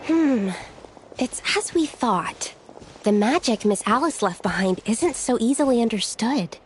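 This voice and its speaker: A woman's voice: fairly high pitch, expressive, unhurried, background noise. An actress playing a young, studious researcher in a video game, polite and earnest as she carefully explains. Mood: pensive. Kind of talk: video game character acting.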